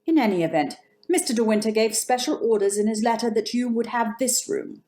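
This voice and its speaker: A woman's voice: fairly high pitch, fairly quick, warm tone, lightly acted. Radio drama housekeeper, played by a woman: grand-house formality, icy deference, tightly restrained and clipped. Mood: cool, formal. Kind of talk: old-time radio drama acting.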